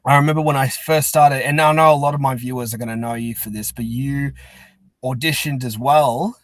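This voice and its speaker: A man's voice: medium pitch, steady tone, a little fast, no echo. Enthusiastic man hosting a casual interview. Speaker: host interviewing a guest.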